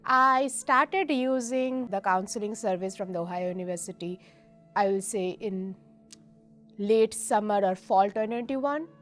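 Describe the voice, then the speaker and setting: A woman from India speaks calmly in an interview, her voice at a middle pitch. Soft music plays faintly behind her. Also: a little slow. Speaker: PhD student in biology. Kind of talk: interview testimony.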